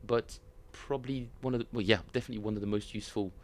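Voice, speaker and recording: A man with a French accent, chatting casually and self-mockingly with viewers as he streams his bass practice, often chuckling. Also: steady tone, very fast. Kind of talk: casual livestream chat.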